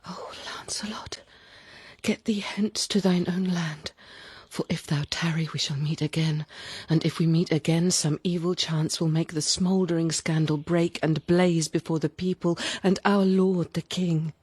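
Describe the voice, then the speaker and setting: A woman playing a guilty, fearful queen in a verse drama, pleading in a breathy half-whisper. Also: on the low side, steady tone, a little slow, a little mellow, no echo.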